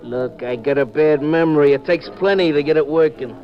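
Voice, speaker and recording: A man's voice: on the high side, a little fast, soft background music, natural voice. An actor playing a cagey, mercenary barroom waiter with slangy wise-guy talk in a 1950s radio drama on a dull old recording. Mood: cagey, greedy.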